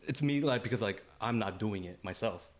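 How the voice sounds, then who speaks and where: Low-voiced laid-back man chatting. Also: a little fast. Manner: laid-back, swears freely, heavy use of "like" and "you know". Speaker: podcast co-host. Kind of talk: casual chat.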